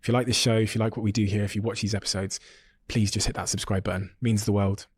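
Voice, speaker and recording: A man's voice: on the low side, flat delivery, fast, slightly rough, dry recording, clean studio sound. A man speaking as a podcast host, addressing his subscribers directly.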